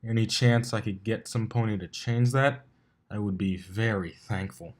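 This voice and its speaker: A man's voice: lowish voice, normal speed, close to an everyday voice. Man as a cocky, grumbling pegasus stallion. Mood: flirty. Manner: casual, sarcastic.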